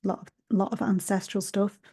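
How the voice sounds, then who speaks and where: A woman, a hypnotherapist and healer, giving a gentle spiritual talk to camera through an earbud mic, slightly raspy from a sore throat and often half-whispering. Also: medium pitch, little pitch movement, a little fast.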